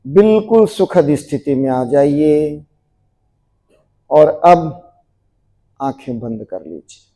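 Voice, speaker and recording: A man leads a guided relaxation for a group. His voice is mellow and sits a little higher than average. He speaks very slowly, giving measured instructions and repeating key phrases. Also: expressive. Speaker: yoga nidra teacher.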